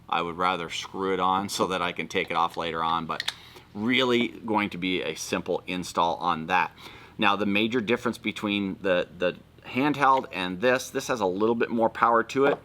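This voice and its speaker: A man's voice: deep voice, pushed and bright, a little hiss or hum. A man hosting a casual product walkthrough, explaining things informally and step by step. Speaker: video host.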